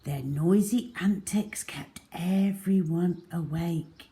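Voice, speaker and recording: A woman narrating a storybook for young children in an expressive, theatrical, deliberate way, letting phrase endings drop to a hush. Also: fairly low pitch.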